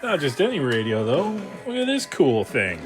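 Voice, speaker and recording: A man's voice: mid-range voice, wildly swooping pitch, normal speed, music in the background. A man talking casually and playfully, with enthusiasm, as he hosts a hands-on radio restoration vlog. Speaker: host of a radio repair channel.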